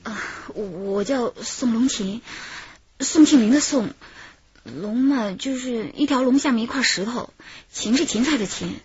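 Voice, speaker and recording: Chatty housewife, thirties, raspy, swooping intonation, gossipy, self-pitying, radio drama. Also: female voice, a little high, lively intonation, unhurried, a little mellow, exaggerated voice. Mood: plaintive, chatty. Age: 30s. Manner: rambling, gossipy, dramatic sighs. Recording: muffled, roomy radio drama recording.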